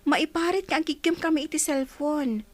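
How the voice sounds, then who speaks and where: A woman's voice: high voice, normal speed, natural voice. A young woman playing a determined, dutiful daughter in an Ilocano radio drama. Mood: dutiful, wistful. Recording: music under the voice.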